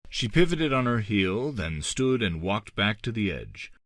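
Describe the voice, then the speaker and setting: A man reading an audiobook as dramatic scripted narration, in a measured, theatrical manner. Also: fairly low pitch, very animated, a bit bright, no echo, studio quality. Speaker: audiobook reader.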